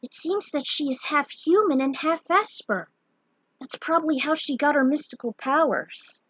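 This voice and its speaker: Woman as an eager, high-pitched young adventurer. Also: normal speed, fairly bright tone, no echo, rough recording. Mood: informative, insistent.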